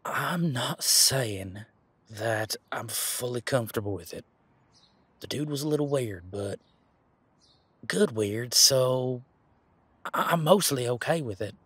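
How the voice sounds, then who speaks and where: A man playing a laid-back, responsible werewolf security guard in a casual roleplay audio, talking in a colloquial, halting, matter-of-fact way with a husky voice. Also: medium pitch, animated, fairly bright tone, dry recording, heavily acted. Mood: frank, uncertain.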